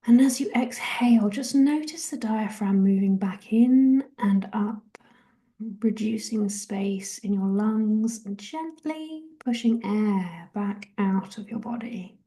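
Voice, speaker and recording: A woman leading a guided meditation in a soft, gentle, breathy half-whisper, giving soothing body scan instructions. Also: mid-range voice, slow. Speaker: guided relaxation and meditation host.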